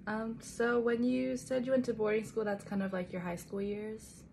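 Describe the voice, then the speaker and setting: A woman's voice: fairly high pitch, flat delivery, moderate pace, vocal fry, warm tone, a little hiss or hum. A young woman interviewing a fellow college student and asking curious, friendly follow-up questions in a casual way. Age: young adult.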